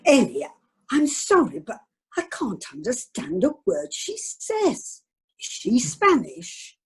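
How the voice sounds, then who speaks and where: A woman playing a fussy, chatty retired wife with a northern English accent and a husky voice in an amateur radio comedy. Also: fairly high pitch, huge pitch swings, slow, heavily acted. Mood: excited, curious. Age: older. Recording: online video meeting audio.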